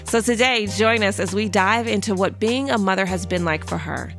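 A woman's voice: mid-range voice, moderate pace, a bit bright, soft background music. Effusive woman podcast host. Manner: effusive, enthusiastic, gushing. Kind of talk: casual interview.

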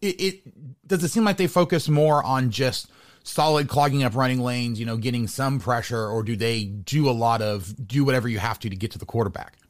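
A man's voice: medium pitch, a little fast. An American man hosting a casual sports interview, rambling and repeating words as he thinks aloud. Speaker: podcast host interviewing a sports guest.

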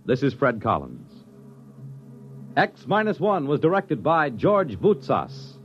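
A man's voice: medium pitch, huge pitch swings, takes it slowly, faint music behind. An American man announcing and reading ads on 1950s radio with a formal, polished sales delivery. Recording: dull vintage radio recording.

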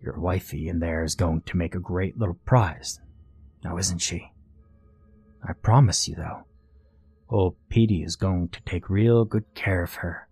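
A man's voice: low voice, fairly even intonation, unhurried, darkish tone, slightly rough, no echo. A man voicing a burly, crude bully in a storybook, taunting and coarse in manner. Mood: gloating menace. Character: burly, crude male bully, menacing and gloating.

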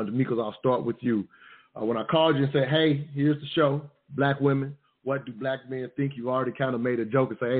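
Courteous male radio host on a phone-like line. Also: lowish voice. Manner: courteous, leans on "if you will". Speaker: radio talk show host. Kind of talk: live call-in radio talk. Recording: phone-like narrow audio.

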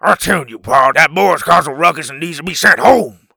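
A man voicing a furious, filthy country doctor, rough and gravelly, blustering in folksy backwoods grammar for a storybook. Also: fairly high pitch, normal speed, bright, forceful tone, dry recording, clean studio sound, voice pushed up, full character voice. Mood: angry, accusing. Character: filthy, furious, wild-haired old country doctor caveman.

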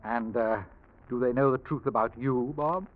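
A man playing a suave, flattering British con man with sly menace in an old radio drama. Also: medium pitch, normal speed, lightly acted. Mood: silky, menacing. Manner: urbane, flattering, slyly menacing. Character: suave, smooth-talking British male swindler and ex-convict. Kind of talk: 1940s radio drama acting. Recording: dull vintage radio recording.

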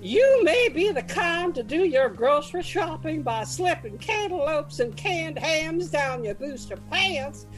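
A woman's voice: high-pitched, big pitch swings, measured pace, hardly any pauses, faint music behind, poor audio. A woman voicing a prissy, folksy, easily affronted monster truck fan in a comedy radio drama. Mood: indignant.

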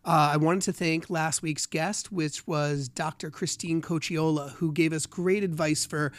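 A man hosting a podcast in casual chat, raspy and a bit under the weather, candid and animated, swearing freely. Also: a little high, measured pace. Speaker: podcast host.

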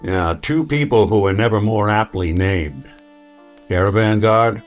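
An actor playing a weary, wry synth detective with a dry deadpan delivery in an old-time radio noir drama. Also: male voice, lowish voice, unhurried, soft background music, natural voice. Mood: businesslike.

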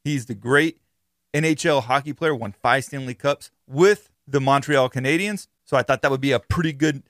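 Man, podcast host, mid-range pitch, informal explanatory monologue, conversational phrasing, close dry recording. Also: moderate pace, fairly bright tone, no room sound.

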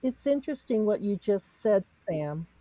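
A woman whose first language is Cantonese, speaking in an earnest, searching way in a reflective faith discussion, pausing often with fillers. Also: medium pitch, animated, dark tone, dry recording, poor audio. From United States. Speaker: discussion panelist.

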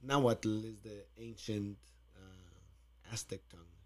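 Soft-spoken man with a Mexican Spanish accent. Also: on the low side, steady tone, takes it slowly. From Mexico City. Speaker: podcast guest. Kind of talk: casual podcast chat.

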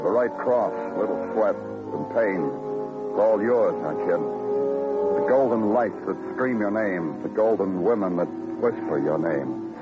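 An actor playing a world-weary, brooding police detective, narrating in a poetic, deadpan way in a noir radio drama. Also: male voice, fairly low pitch. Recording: dull old radio recording, loud music.

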